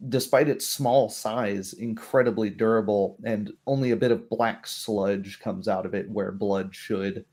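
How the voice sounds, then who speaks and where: Slow, low-voiced game master narrating. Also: male voice, fairly even intonation, darkish tone.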